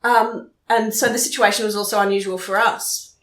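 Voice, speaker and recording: Woman, Australian accent, photojournalist, chatty storyteller, mid pitch, roomy echo. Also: little pitch movement, brisk. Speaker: photojournalist guest. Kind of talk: casual interview chat. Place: room in her house.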